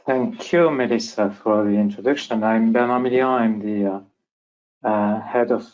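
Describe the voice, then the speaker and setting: A man with a French accent presenting a business webinar as moderator, speaking haltingly with repeated words, on dull webinar audio. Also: lowish voice, fairly even intonation, darkish tone. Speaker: webinar moderator and company executive.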